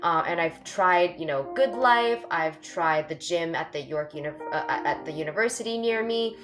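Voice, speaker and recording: A woman's voice: fairly low pitch, animated, moderate pace, music in the background. A woman from Canada hosting an online sharing circle, upbeat and encouraging in a casual way. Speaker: circle host. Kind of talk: casual group welcome, video call.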